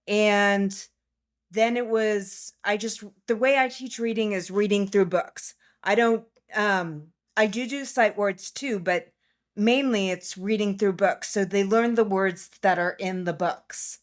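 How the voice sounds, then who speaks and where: A woman who is a private tutor, answering interview questions in a plain, explanatory way. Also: medium pitch. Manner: plain, explanatory, matter-of-fact.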